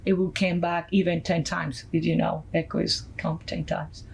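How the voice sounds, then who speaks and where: A woman from Colombia with a Colombian Spanish accent, a Spanish native speaker, telling her story earnestly as a podcast guest, pausing often with fillers. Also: on the low side, flat delivery, gravelly, darkish tone, slightly rough. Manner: earnest, halting, many fillers. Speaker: guest, nonprofit founder. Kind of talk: podcast interview, personal story.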